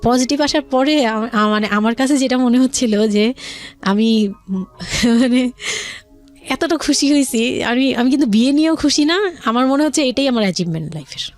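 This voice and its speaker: A woman's voice: high voice, animated, moderate pace, long pauses, soft background music. A woman with a Bangladeshi accent telling her personal story as an interview guest, colloquially, often repeating and rephrasing herself.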